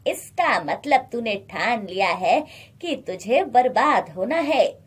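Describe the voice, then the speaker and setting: A greedy, bullying rich merchant acted out by a woman in a storybook tale. He is menacing and scornful, with a husky edge and a slow, domineering delivery. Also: a little high, animated, heavily acted. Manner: threatening, domineering.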